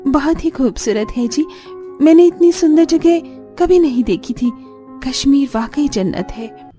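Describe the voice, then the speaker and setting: A woman voicing a gentle, devoted young bride in a cartoon story, with a sweet, earnest manner. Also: high-pitched, animated, a little slow, faint music behind, pitched above the performer's own voice. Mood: delighted. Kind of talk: Hindi cartoon story dialogue.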